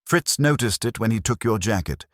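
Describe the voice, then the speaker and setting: A man's voice: lowish voice, expressive, moderate pace, dry recording, studio quality, pitched above the performer's own voice, natural voice. A man voicing a stern, pompous master detective in an audiobook, formal, weighty and accusing.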